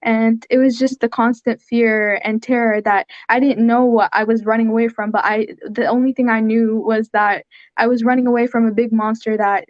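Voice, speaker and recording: Fourteen-year-old girl, earnest, flat and high. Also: normal speed, no echo, dull audio. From Syria. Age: teens. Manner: earnest, soft-spoken storytelling with frequent "like". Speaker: interview guest, young artist. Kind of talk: online interview. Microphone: video call.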